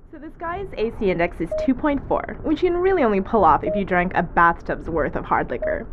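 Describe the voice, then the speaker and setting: A woman's voice: medium pitch, brisk, highs cut off, noisy background, lightly acted. An actress playing a casual, teasing young woman who works as a spaceship medic. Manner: chatty, animated storyteller, casual and teasing. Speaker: ship medic.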